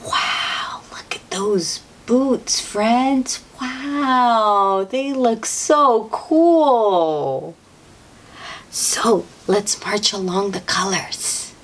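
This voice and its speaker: A woman's voice: fairly high pitch, drawn-out words. A woman reading a picture book aloud to young children in an encouraging, sing-song manner, as the host. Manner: animated, encouraging, sing-song talk addressed to small children.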